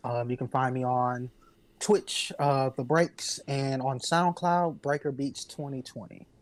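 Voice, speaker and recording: A man talking casually as a podcast panelist, halting and pausing between short phrases. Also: medium pitch, fairly even intonation. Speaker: podcast panelist, music producer. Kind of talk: casual chat.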